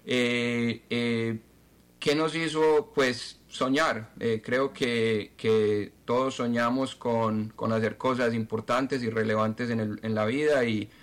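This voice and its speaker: Man, Colombian accent, mid-pitched voice, halting delivery with repeated words, club president giving interview answers. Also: fairly even intonation.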